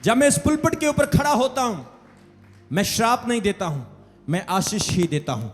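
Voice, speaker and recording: A man with a Punjabi accent preaching an emphatic, repetitive call-and-response sermon to a congregation. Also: high-pitched. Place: large church hall, preaching from pulpit. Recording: echoey hall with long reverb.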